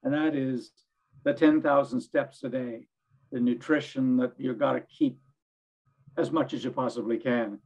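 Hoarse older man on a video call. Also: mid-range voice, fairly even intonation, a little slow, darkish tone, rough recording. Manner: earnest, rambling with restarts. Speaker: executive director, panelist. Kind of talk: webinar panel talk. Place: home study.

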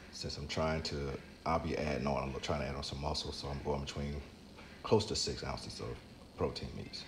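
A man in his fifties giving a relaxed, conversational how-to talk straight to the camera in his home kitchen. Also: very deep voice. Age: 50s. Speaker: vlogger. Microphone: camera mic from a few feet away. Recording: echoey kitchen with background noise.

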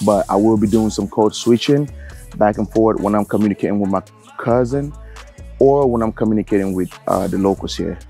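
A young man from Cameroon, talking casually to camera as a travel vlogger, relaxed and rambling with plenty of fillers. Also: on the low side, vocal fry. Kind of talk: casual vlog talk to camera.

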